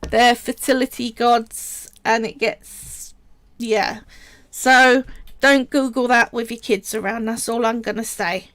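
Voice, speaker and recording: A woman's voice: fairly high pitch, fairly even intonation, fairly bright tone, no echo. A woman casually talking viewers through a polymer clay sculpting project on a live stream, chatty and explanatory. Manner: chatty and explanatory, often pausing to say "right" and "so".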